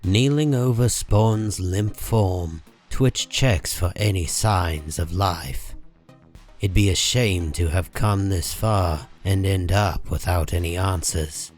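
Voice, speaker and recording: A man reading scripted dramatic comic book narration in a measured, solemn manner. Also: low-pitched, darkish tone. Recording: music behind the voice.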